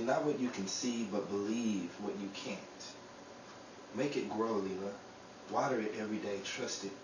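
A man's voice: fairly low pitch, close to an everyday voice. A man playing a solemn, mysterious messenger who exhorts and guides in an old-time radio drama. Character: solemn, mysterious male messenger giving guidance. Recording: roomy, distant, clearly noisy recording.

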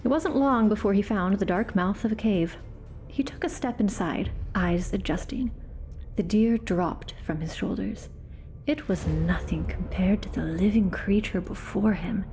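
A woman hosting a podcast and giving a dramatic reading of a fantasy story, turning hushed in tense passages. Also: mid-range voice, measured pace, slight background noise. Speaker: podcast host and reader.

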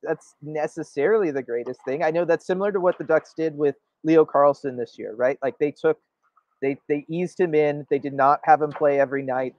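A man's voice: on the high side, darkish tone, no echo, lo-fi sound. A man co-hosting a sports show, giving emphatic opinionated commentary and often checking for agreement. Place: indoor room, video call.

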